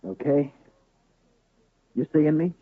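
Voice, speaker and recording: An actor playing a defiant poker player in an old radio drama, answering curtly. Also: male voice, fairly high pitch, expressive, slow, slightly rough, no room sound, heavily acted. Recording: tinny lo-fi old radio broadcast.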